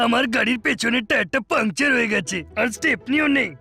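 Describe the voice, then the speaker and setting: Super creaky high dopey cartoon guy, hesitant, music under it. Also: expressive, quick pace, full character voice. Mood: worried, gullible. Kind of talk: cartoon dub acting.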